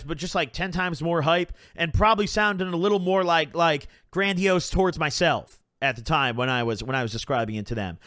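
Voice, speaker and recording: A young man spins a rambling, profanity-laced storytime, leaning on "like" and "bro" as he goes. His voice sits slightly higher than most men's. The sound is close and dry, with no room around it. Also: dry recording. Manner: animated, puts on voices when quoting people. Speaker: channel host. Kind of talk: casual storytime monologue.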